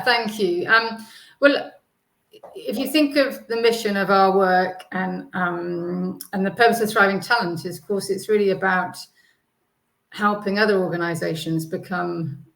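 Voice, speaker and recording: A woman's voice: medium pitch, a bit bright. Older woman hosting a webinar, echoey room. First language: English. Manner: measured, thoughtful, with frequent ums. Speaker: co-CEO and host. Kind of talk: webinar conversation. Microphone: video call.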